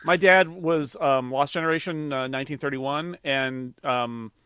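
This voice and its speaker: Middle-aged guy, bright sharp tone, dry close mic, wry storytelling. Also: mid-range voice, fairly even intonation, a little slow, clear, resonant tone, dry recording. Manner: anecdotal, wry. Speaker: player. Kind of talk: casual chat.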